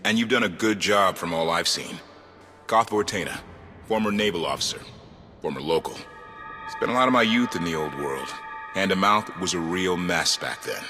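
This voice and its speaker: Low-voiced, cocky game rogue. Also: male voice, animated, moderate pace, pushed and bright. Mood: wry, persuasive. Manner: casual, wry, talks in clipped asides. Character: cocky, wry male rogue, former naval officer. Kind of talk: scripted video game cutscene acting. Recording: dramatic music behind.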